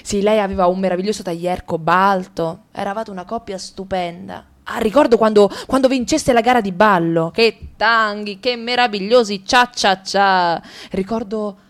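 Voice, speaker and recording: A woman's voice: mid-range voice, moderate pace, hardly any pauses, lightly acted. Lady playing a gushy dreamy woman, big swoopy delivery, radio play. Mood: gushing. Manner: gushing, swooping and theatrical. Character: gushing chatty woman, dreamy and theatrical. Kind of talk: radio drama acting.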